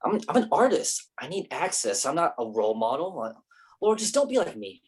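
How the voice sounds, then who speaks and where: A man's voice: on the high side, sing-song, a little fast, breathy edge. A man playing a theatrical, flirty, self-pitying actor in his mid-thirties in a staged play reading. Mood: agitated. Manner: whiny, theatrical, pretentious.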